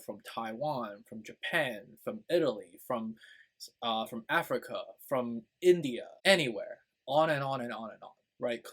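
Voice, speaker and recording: A man's voice: medium pitch, husky. A young man with a Chinese accent, a native Chinese speaker, talking casually to his channel's viewers in an earnest, rambling way, full of fillers and "right?" tags. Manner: rambling, earnest, many fillers and tag questions. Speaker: YouTube channel host. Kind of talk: casual personal talk to camera.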